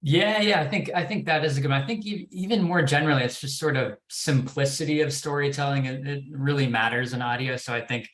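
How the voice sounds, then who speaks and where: A man's voice: mid-range voice, brisk, hardly any pauses, fairly bright tone. A man giving explanations as a development executive on a panel, hedging and repeating words as he thinks. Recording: echoey, roomy sound.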